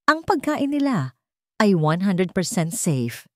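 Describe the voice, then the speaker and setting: A woman's voice: mid-range voice, very animated, slow, no room sound, clean studio sound. A woman voicing an influential, composed figure making a formal, emphatic press statement in a storybook. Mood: composed certainty. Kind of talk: formal public statement within audio storybook.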